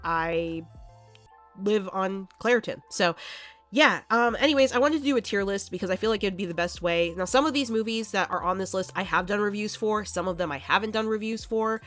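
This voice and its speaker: A young woman hosting a casual horror movie vlog, chatty and quick to laugh, sounding a bit congested from allergies. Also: medium pitch, a bit bright, faint music behind. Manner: chatty, laughs often, many fillers.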